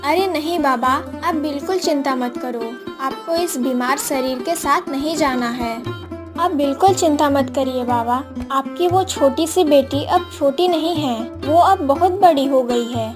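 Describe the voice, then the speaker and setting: कार्टून कहानी में गरीब लकड़हारे की विनम्र, ज़िम्मेदार जवान बेटी का किरदार निभाती एक महिला।. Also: female voice, sits high, unhurried, over music. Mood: earnest, caring. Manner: polite, earnest. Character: dutiful, polite young village daughter of poor woodcutter. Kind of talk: cartoon moral story acting.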